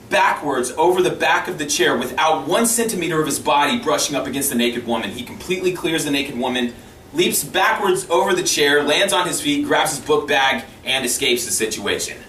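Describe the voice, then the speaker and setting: A man's voice: on the high side, a bit bright. Man telling stories in a roomy, distant recording. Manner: mock-serious, acts out dialogue with pauses. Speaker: storyteller. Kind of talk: animated comic storytelling to camera. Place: small indoor room, seated before camera. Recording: roomy, distant mic with background hiss.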